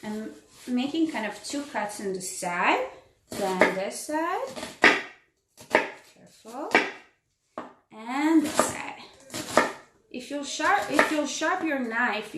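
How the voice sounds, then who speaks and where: Slow-talking Israeli woman cooking live. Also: on the high side, expressive, reverberant, very noisy. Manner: chatty, reads and answers viewer comments, frequent ums. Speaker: tour educator hosting a live cooking stream. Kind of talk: casual live cooking demo. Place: home kitchen.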